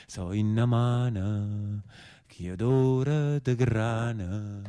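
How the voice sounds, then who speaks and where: An actor playing a proud, defiant brigand with a southern Italian accent, delivering a solemn chanted lament on stage. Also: male voice, lowish voice, slow pace, dark tone, clear, resonant tone. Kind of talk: slow chanted theatrical lament.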